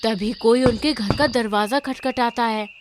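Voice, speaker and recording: High-voiced woman narrating a story. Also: measured pace, background noise.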